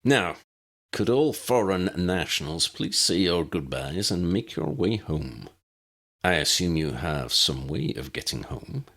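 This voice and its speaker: A man's voice: low-pitched, expressive, a bit bright, exaggerated voice. A man voicing a stern, weary warlock director in an audiobook, formal and dry with wry sarcasm. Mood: weary, wry authority. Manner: formal, dry, measured, sardonic.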